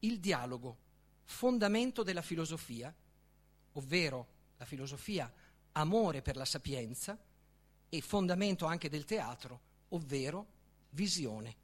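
Male author-reader, high-pitched voice with lively intonation, theatrical and deliberate, for expressive literary prose. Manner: solemn, theatrical, deliberate phrasing with dramatic pauses. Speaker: author reading from their own book. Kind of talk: expressive literary reading aloud.

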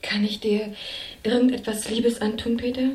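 A woman's voice: fairly high pitch, little pitch movement, normal speed, a little mellow, close to an everyday voice. An actress playing an affectionate, placating girlfriend in a German radio drama, heard in an echoing room. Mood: coaxing. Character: soothing, affectionate young girlfriend of the secretary. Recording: roomy, echoing eavesdropped-room sound.

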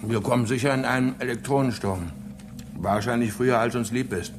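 An actor playing a grumpy, sarcastic space engineer in a radio drama, blunt and sardonic. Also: male voice, mid-range voice, fairly even intonation, a little fast, natural voice. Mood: matter-of-fact. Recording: clearly noisy background ambience.

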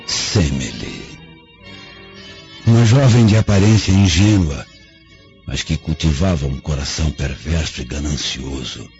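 A man's voice: extremely low pitch, animated, measured pace, darkish tone, heavily acted. An actor with a Brazilian accent playing a proud, vengeful dying father confessing with remorse, in solemn, theatrical radio drama phrasing. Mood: bitter confession. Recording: dull lo-fi radio drama, faint music behind.

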